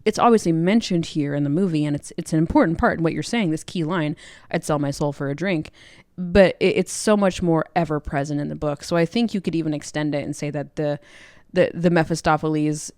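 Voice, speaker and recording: A woman with a lowish voice chats quickly as a podcast co-host. She is informal and agreeable, working out her thoughts aloud, in a close, dry recording. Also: darkish tone, no room sound. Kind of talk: casual chat.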